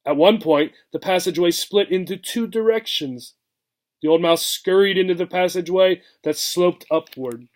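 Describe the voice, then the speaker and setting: Higher-pitched man reading a children's book. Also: normal speed. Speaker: reader, teacher. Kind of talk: expressive children's book read-aloud narration.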